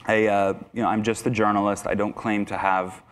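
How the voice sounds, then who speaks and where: A man's voice: on the low side, little pitch movement, brisk, smooth. A young man from Iran giving a conversational lecture to teachers in a conference hall, speaking into a lapel mic with many fillers and restarts. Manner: conversational, self-deprecating, frequent restarts and fillers. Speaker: guest speaker. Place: conference hall, indoors, large.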